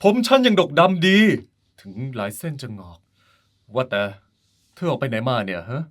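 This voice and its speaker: A man's voice: mid-range voice, very animated, normal speed, fairly bright tone. A man playing a sulky, theatrical middle-aged father and doting husband in an audio drama, whiny and teasing. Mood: indignant. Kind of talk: Thai audio drama acting.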